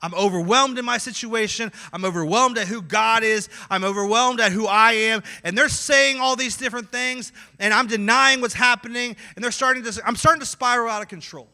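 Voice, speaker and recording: A man with a Midwestern accent preaching a sermon, acting out inner voices and swinging between loud and quiet. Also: very high-pitched, a little fast, hardly any pauses. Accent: Midwestern American. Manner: animated, acts out inner voices with swings in loudness. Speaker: pastor.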